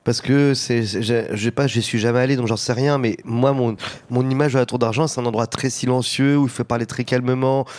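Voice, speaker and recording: A man's voice: medium pitch, steady tone, a little fast, a little mellow. A man chatting as a guest, stumbling and restarting his sentences and laughing often. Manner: hesitant, stammering, laughing. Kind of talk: casual chat.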